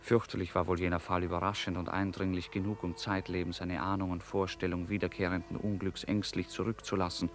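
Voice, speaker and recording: A man narrating a literary radio play with measured, deliberate phrasing. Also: low voice, level tone, a little hiss or hum.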